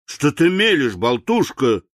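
A man's voice: mid-range voice, big pitch swings, unhurried, bright, forceful tone, dry recording, clean studio sound. A man voicing a commanding, hot-tempered general with a curt, imperious bark, in an audiobook story. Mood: angry.

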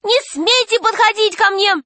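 A woman's voice: very high voice, moderate pace, bright, forceful tone, no room sound, voice pushed up. A woman voicing a frightened but defiant young girl in a storybook, exclaiming shrilly and insistently. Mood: frightened defiance.

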